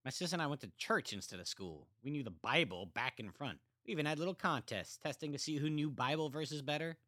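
A man doing Sven, a calm, eerie killer. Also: a little high, brisk, no room sound, clean studio sound, voice pushed up. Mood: offhand. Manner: matter-of-fact, eerily calm confession. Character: adult man, soldier, calm unsettling killer.

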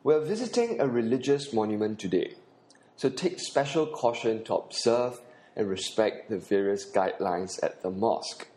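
A man's voice: fairly low pitch, animated, moderate pace, mellow. A man with a Singaporean accent, hosting a podcast and narrating an audio tour with earnest instruction. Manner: earnest, instructive, with occasional uh fillers.

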